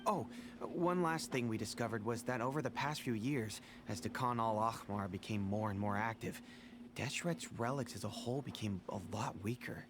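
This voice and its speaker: A man's voice: medium pitch, background noise, lightly acted. A man voicing a serious mercenary leader. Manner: briefing, measured and serious. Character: steady male mercenary crew leader. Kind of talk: game voice acting. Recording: game audio with music behind.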